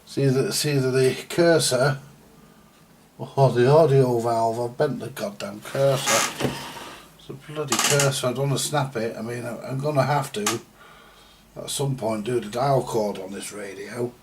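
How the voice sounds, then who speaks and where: A man giving a casual, halting, mildly sweary thinking-aloud commentary as he does hands-on repair work, often muttering to himself. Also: mid-range voice, noisy background. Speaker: repairer presenting a hands-on video. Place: indoor repair workbench.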